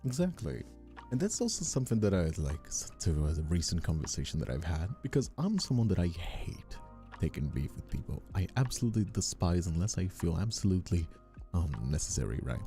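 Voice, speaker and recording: Male streamer, mellow and very low-voiced, for loose, earnest, off-the-cuff chat with lively inflection. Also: fairly quick. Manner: hesitant, repetitive, informal, earnest. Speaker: ASMR creator and streamer hosting a chat. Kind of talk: casual rambling livestream chat. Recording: faint music behind the voice. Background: faint music.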